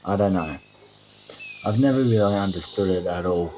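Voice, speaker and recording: A man's voice: deep voice, moderate pace, a little mellow, slight background noise, natural voice. A man playing an eager, casual, loyal companion in an amateur audio drama. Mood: casual, friendly.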